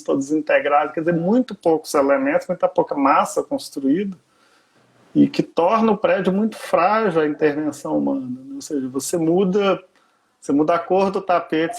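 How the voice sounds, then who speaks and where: A Brazilian man with a Minas Gerais accent talks casually on a live stream, rambling and circling back with frequent filler tags. His voice is gravelly and husky and sits a little high for a man. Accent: Minas Gerais Portuguese. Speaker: architecture researcher, live guest.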